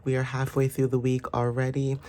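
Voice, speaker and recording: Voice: mid-range voice, monotone, brisk, a little mellow, slight background noise. A tarot reader with a sinus infection, congested and stuffy, giving a casual, rambling, reassuring reading full of fillers. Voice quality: stuffy, nasal.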